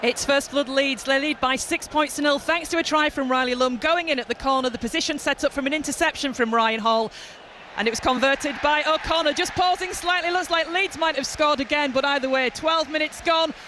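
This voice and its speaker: Woman reporter reading out a quick rugby score update, crisp and factual, bit of noise behind. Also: high-pitched, sharp tone. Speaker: sports reporter.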